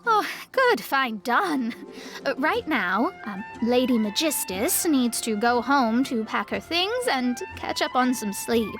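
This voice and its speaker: A woman's voice: a little high, sing-song, slow, music in the background, full character voice. A woman voicing a wry, put-upon young astrologer in a video game, with a dry, reluctant manner. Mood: weary, appeasing. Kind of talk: video game cutscene acting.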